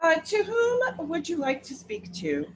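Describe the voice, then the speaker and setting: A woman acts out a formal, officious switchboard clerk for a radio drama. Her high voice rises and falls in a very animated way, courteous and businesslike, over faint background noise. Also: unhurried, poor audio. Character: polite, businesslike female telephone clerk, brisk and unruffled. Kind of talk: radio play acting.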